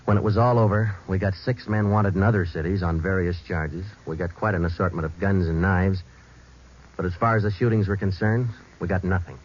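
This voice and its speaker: A terse American police sergeant from an old radio crime drama. His voice is low and he rattles off facts in a clipped, deadpan way, on a dull vintage recording. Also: brisk. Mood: matter-of-fact. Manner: deadpan, clipped, matter-of-fact. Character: terse, businesslike male police sergeant narrating his case.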